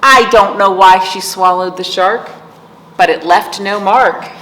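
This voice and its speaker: A woman's voice: medium pitch, unhurried, fairly bright tone. A woman hosting storytime for young children, reading aloud expressively in a sing-song way and putting questions to her listeners. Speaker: children's librarian, storytime host. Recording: echoey reverberant room, clear background noise.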